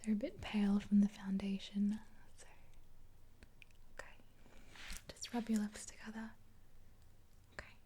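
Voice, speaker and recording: Softly whispering young woman, ASMR. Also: mid-range voice, flat delivery. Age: 20s. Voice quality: breathy, unvoiced whisper. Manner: gentle, unhurried, reassuring. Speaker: ASMR performer playing a makeup helper. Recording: hissy close-up with clicks.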